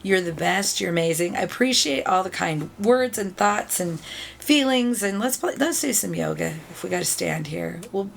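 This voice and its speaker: Woman host, middle-aged, gravelly sore-throat rasp, chatty and upbeat, faint hiss behind. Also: lowish voice. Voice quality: raspy. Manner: chatty, upbeat, thanks viewers often. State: raspy throat after illness. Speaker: video game channel host. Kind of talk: casual chat to viewers.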